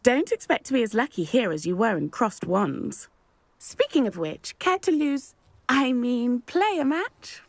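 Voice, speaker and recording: A woman's voice: on the high side, sing-song, a little slow, gravelly, no room sound, heavily acted. A woman playing a confident, competitive teenage witch in a video game, with a teasing, cocky manner. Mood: playfully competitive. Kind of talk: video game character acting.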